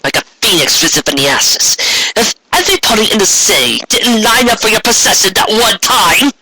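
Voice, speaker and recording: A man's voice: high voice, big pitch swings, brisk, bright, forceful tone, slight background noise, pitched above the performer's own voice, full character voice, rough recording. A man voicing a defiant, bitter alicorn princess in a storybook reading, accusing and sarcastic. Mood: incredulous sarcasm.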